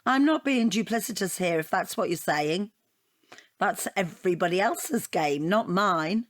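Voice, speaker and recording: An actress playing a chatty, defensive middle-aged British divorcee in an audio comedy drama, rambling and self-justifying with a wry edge. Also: female voice, medium pitch, lively intonation, measured pace, no room sound, studio quality, natural voice.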